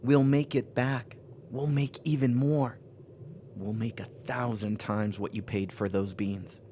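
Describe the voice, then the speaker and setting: An actor playing a controlling, quietly sure man who gives terse orders to his partner in a radio horror play. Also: medium pitch, steady tone, measured pace, a little hiss or hum, lightly acted. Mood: assured.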